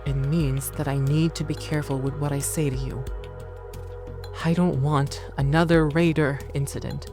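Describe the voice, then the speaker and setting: A woman playing a low-voiced resolute rebel daughter. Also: fairly even intonation, darkish tone, faint music behind. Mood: cautious. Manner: earnest, measured, resolute. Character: determined young woman, chief's daughter, rebellious. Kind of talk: audio drama acting.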